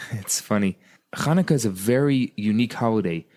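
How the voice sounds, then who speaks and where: A man's voice: fairly low pitch, normal speed, warm tone. A man, a rabbi explaining earnestly in a radio interview, using emphatic repetition. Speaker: rabbi and co-host.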